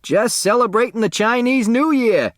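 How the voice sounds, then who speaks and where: High-pitched older male goofball, exuberant, clownish, boisterous yelling, audiobook character, dry close sound. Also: expressive, normal speed, dry recording. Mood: jokey, shouting. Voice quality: cackling. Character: Otis Amber, exuberant cackling clownish older delivery man.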